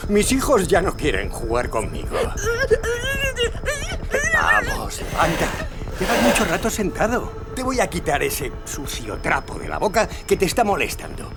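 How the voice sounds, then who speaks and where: An actor playing a deranged, fanatical elderly killer in a dramatized podcast, coaxing and singsong, then fervent. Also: male voice, a little high, wildly swooping pitch, measured pace, over loud music, exaggerated voice. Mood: menacing coaxing. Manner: theatrical, coaxing, swinging into fervent shouts. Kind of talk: dramatized true-crime podcast acting.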